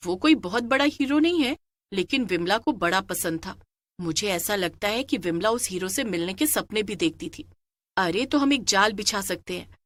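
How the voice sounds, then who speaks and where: A woman voicing a bold, determined village woman in an animated story, speaking assertively and with emphasis. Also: mid-range voice, natural voice. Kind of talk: animated moral story character acting.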